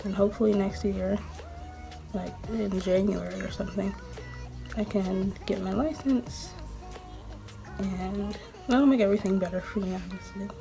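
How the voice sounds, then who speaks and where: A woman's voice: medium pitch, steady tone, normal speed, vocal fry, pauses often, over music, rough recording. A 19-year-old woman chatting casually in her bedroom while doing her makeup, rambling with lots of "like" and "um". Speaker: beauty vlogger. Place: small bedroom.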